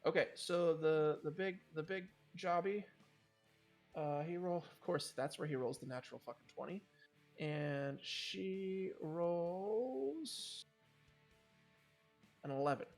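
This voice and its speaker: A man's voice: on the high side, takes it slowly, long pauses, faint music behind. A man narrating a tabletop game as game master in a casual, loose, improvised way. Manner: casual, swearing.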